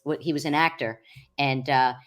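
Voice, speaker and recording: A middle-aged woman from Long Island with a deep voice, chatting as a podcast guest and rambling with many fillers and restarts. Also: steady tone, moderate pace. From Long Island, New York. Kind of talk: casual interview chat.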